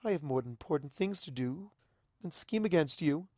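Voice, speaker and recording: A grand, imperious pony princess acted out by a man, pitched up from his natural voice for a fantasy story reading. The delivery is theatrical and formal, with a playful edge, and sounds close and dry. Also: animated, moderate pace, dark tone, dry recording. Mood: regal, amused.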